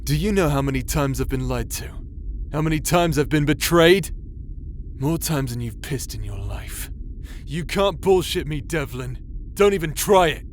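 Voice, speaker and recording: Man acting a brash, higher-voiced rogue warrior. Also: lively intonation, moderate pace, slight background noise, lightly acted. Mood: accusing, menacing. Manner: blunt, goading, swearing. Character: brash, cocky, mistrustful rogue warrior, rough-tongued. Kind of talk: audio roleplay acting.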